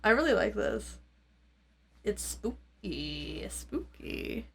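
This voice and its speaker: A woman with a mid-pitched voice talks casually through a gear review. She thinks aloud in loose, informal fragments, as a channel host would. Guitar music plays around her speech. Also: very animated, takes it slowly, creaky. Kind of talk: casual, off-the-cuff product demo chat. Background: electric guitar playing through effects pedals.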